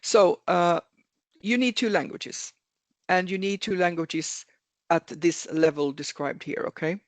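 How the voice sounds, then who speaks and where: A man speaks over a video call with a somewhat high, gravelly voice, and the sound is dry and close. He explains things earnestly, repeats key words for emphasis and often stops to correct himself mid-sentence. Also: steady tone, unhurried, no echo. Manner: repetitive, earnest, self-correcting. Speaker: webinar speaker on careers. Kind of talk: explanatory webinar talk.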